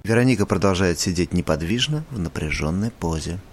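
Deep-voiced man narrating an audio play. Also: expressive, brisk, a little hiss or hum. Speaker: narrator reading stage directions.

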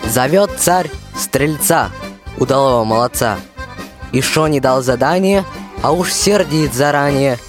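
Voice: mid-range voice, a little slow. A young storyteller narrating a rhymed folk tale for a radio play. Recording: accordion music behind the voice.

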